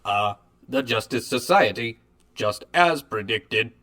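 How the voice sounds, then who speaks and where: A man voicing a scheming male android villain who speaks in a measured, calculating way. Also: medium pitch, animated, pushed and bright. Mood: gloating. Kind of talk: amateur radio drama acting.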